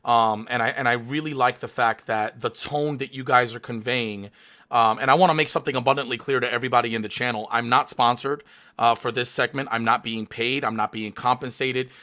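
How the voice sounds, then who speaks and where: A man hosting a live stream and interviewing a guest, energetic and hyped, full of fillers and restarts. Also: medium pitch, fairly quick.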